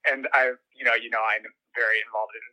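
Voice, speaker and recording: A man's voice: mid-range voice, little pitch movement, fast, sharp tone. A man speaking casually as an interview guest and political commentator over a remote connection. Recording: dull, lo-fi remote call audio.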